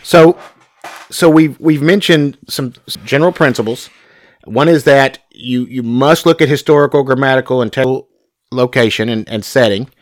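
A man's voice: mid-range voice, moderate pace, dry recording. A man in his forties, co-hosting a casual talk about Bible interpretation, with a youngish, slightly whiny, country-sounding voice. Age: 40s. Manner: self-described young whiny voice with a country twang. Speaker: podcast co-host.

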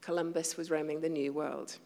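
Low, gravelly woman preaching in a reverberant hall. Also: little pitch movement, a little fast. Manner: conversational, self-deprecating, with hushed asides. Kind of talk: sermon.

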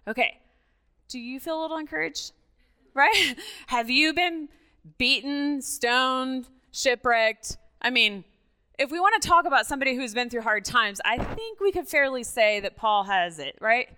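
A middle-aged woman from Mexico, a native Spanish speaker, giving a conversational, animated personal testimony as a guest speaker at church, often checking in with her listeners. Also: sits high, unhurried, smooth.

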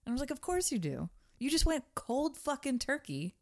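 A woman's voice: high-pitched, very animated, brisk. A woman co-hosting a podcast in casual chat, teasing and blunt, and swearing freely. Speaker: podcast co-host.

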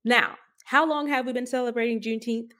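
A woman's voice: fairly high pitch, steady tone, fairly quick, bright, forceful tone. A woman co-hosting a podcast, talking casually and sardonically with emphatic rhetorical questions. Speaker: podcast co-host. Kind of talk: casual opinion talk.

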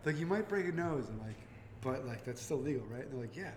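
A man's voice: mid-range voice, a loud crowd. A man hosting a casual podcast, talking animatedly and acting out quotes while telling stories. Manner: fast and animated, acts out quotes from his own stories. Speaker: podcast host. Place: brewery taproom.

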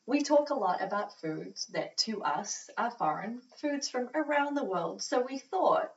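A woman's voice: on the high side, lively intonation, unhurried, recorded from a distance, highs cut off. A young woman with a New Zealand accent, co-hosting a casual podcast chat, cheerful, laughing often and swearing casually. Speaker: podcast co-host. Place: home office near a road.

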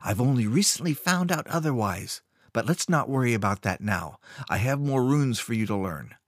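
A man voicing a sinister, scheming male rune master who speaks smoothly but with a cold, threatening edge. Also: mid-range voice, animated, moderate pace, no room sound, clean studio sound, lightly acted. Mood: cold commanding.

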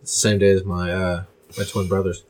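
A young man talks in a low voice as a podcast guest. He teases and brags with a deadpan delivery. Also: brisk. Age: 20s. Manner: deadpan teasing, bragging. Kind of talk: casual joking chat.

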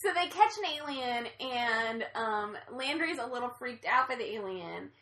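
Young woman, high lively voice, bright, chatty, in an echoey room. Casual co-host energy. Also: normal speed. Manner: bubbly, chatty, lots of fillers. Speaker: co-host of a movie chat. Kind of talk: casual chat.

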